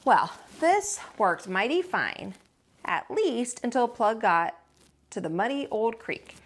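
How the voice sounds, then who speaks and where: A woman with a fairly high voice reads a children's story aloud. Her pitch swings widely as she leans into folksy phrasing. She gives dramatic weight to key words, like a teacher at storytime. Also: slow pace. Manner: folksy, expressive, dramatic emphasis on repeats. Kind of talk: animated read-aloud storytelling.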